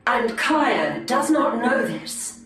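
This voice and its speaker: An actress playing a proud, defiant alien elf warrior with formal speech in a video game. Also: female voice, a little high, big pitch swings, drawn-out words, a bit bright, distant mic, heavily acted. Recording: echoey, off mic.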